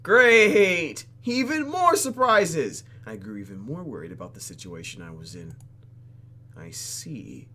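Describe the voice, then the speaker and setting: A man's voice: medium pitch, big pitch swings, a little slow, bright, forceful tone. A man reading visual novel text aloud for a let's play and reacting to it in a theatrical, exaggerated way. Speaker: YouTube gamer.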